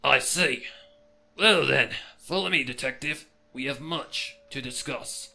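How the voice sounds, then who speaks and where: A man voicing a wary, stern religious pony in a raspy voice, telling dark lore in a grave, theatrical way for a story reading. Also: mid-range voice, unhurried, pitched above the performer's own voice, full character voice. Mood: grave, inviting.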